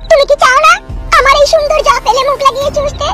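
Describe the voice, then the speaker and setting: A woman's voice: very high voice, steady tone, fast, over music. A woman voicing a cunning young wife in a cartoon comedy, coaxing and wheedling as she sets her terms. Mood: scheming. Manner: coaxing, wheedling, then commanding. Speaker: cartoon character. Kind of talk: Bengali cartoon comedy dubbing.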